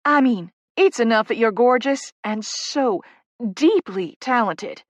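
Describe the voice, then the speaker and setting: A woman playing a gushing, high-pitched Southern publicist. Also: animated, no room sound, close to an everyday voice. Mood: gushing, excited. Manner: effusive, emphatic, rapid gushing chatter. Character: tiny bubbly gushing Southern publicity woman, breathless and excitable.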